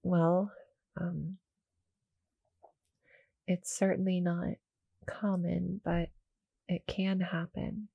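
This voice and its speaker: A soft, breathy cuddle monster girl played by a woman, speaking slowly and close to the mic in a dry, intimate ASMR roleplay. She is small, sweet and soothing, a little hesitant, and often slips toward a whisper. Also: on the low side, level tone, no room sound, lo-fi sound, full character voice. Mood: gently explaining. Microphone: close, dry studio-style mic.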